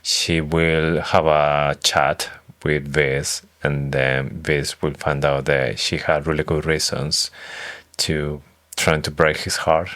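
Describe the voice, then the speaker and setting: A man with an extremely deep voice shares his predictions in an easygoing read-along chat. He pauses and restarts often, feeling his way through each idea. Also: little pitch movement, fairly bright tone. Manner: tentative, halting phrasing as he lays out predictions. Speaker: podcast co-host. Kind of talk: casual book-club chat.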